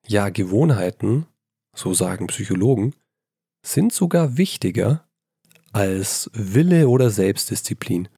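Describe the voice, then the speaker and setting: A man hosts his solo writing podcast, a little hoarse from a cold, his voice low and full of gravel. He talks in a chatty, self-deprecating way with lively emphasis, holding the mic in his hand. The sound is dry and close, with no room echo. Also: a little slow. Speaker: podcast host. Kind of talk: casual solo podcast talk. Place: old childhood bedroom at his mother's house. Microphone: handheld microphone.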